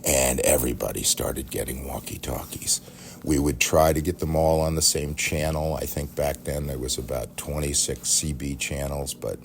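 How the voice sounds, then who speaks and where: A middle-aged American man telling casual, rambling childhood-style reminiscences in a halting, conversational way. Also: a bass voice, gravelly. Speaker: podcast storyteller. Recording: faint background noise.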